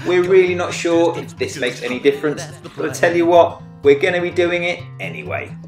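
A man's voice: a little high, big pitch swings, fairly quick. A middle-aged man co-hosting a workshop show, narrating an engine rebuild in a cheerful, jokey way with playful slang. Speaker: co-host restoring a tractor engine. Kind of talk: upbeat workshop narration and banter. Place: garage workshop, voice-over in part. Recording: roomy sound with music underneath.

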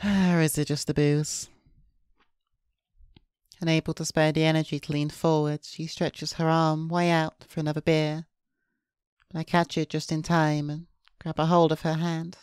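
A woman's voice: low voice, flat delivery, moderate pace, darkish tone, clean tone, no echo, clean studio sound. A woman reading a visual novel's first-person narration aloud as a VTuber. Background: music.

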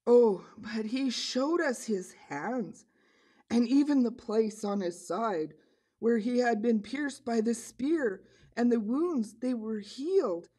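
A woman voicing an earnest disciple puppet, telling a story to children in a deliberate manner. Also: fairly high pitch, lively intonation, dark tone, breathy edge, heavily acted. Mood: earnest awe. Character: earnest disciple puppet recounting Jesus' return.

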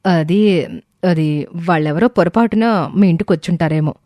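A woman's voice: fairly low pitch, normal speed, dark tone, no echo, lightly acted. A woman voicing a female doctor in a story, evasive and uneasy, with a hesitant, deflecting manner.

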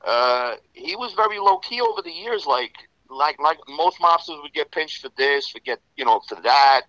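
Bright-voiced New York Italian-American man. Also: a little high, big pitch swings, normal speed, no room sound, low-quality recording. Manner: rambling, heavy with "you know" fillers. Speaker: interview guest. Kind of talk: casual storytelling interview.

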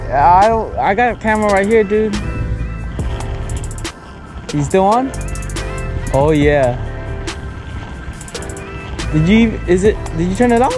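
Man, californian accent, slightly high voice, excitable fishing chatter, outdoors, loud music underneath. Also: slow, long pauses. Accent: Californian English. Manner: excited, chatty, says dude a lot. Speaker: fishing vlogger. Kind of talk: casual outdoor chat. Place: outdoors on a rocky bay shore. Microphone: action camera.

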